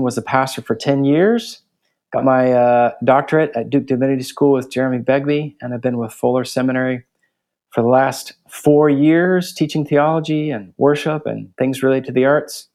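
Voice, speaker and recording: A man's voice: medium pitch, a little mellow. A middle-aged man from Guatemala, a theology professor, talking thoughtfully about his life in an interview. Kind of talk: interview conversation.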